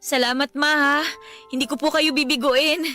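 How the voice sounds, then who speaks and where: A woman's voice: sits high, fairly even intonation, normal speed, bright, forceful tone, soft background music. A woman playing a devoted young adult daughter with a Filipino accent in a radio drama, respectful and earnest. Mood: grateful. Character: devoted, respectful young daughter missing her late father.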